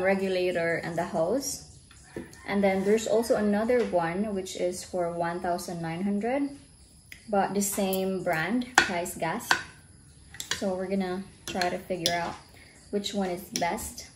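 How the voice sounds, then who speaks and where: Relaxed young Filipino woman vlogging. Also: mid-range voice, background noise. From Cebu, Philippines. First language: Bisaya. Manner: relaxed, chatty, says "um" and "like" often. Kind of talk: casual vlog chat. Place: rural house in the Philippines, indoors and outdoors. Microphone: handheld camera held at arm's length.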